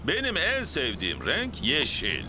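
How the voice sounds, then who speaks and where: A man's voice: fairly high pitch, huge pitch swings, a little slow, very noisy. A man voicing a jolly, playful daddy pig in a children's cartoon, hearty and full of exclamations.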